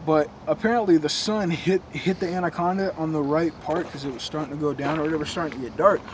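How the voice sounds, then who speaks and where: A young man telling a creepy story in casual slang, recorded in a dark room on a camera a few feet away. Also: on the high side, quick pace. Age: young adult. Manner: slangy, laid-back, joking. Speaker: co-host. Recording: noisy camera audio.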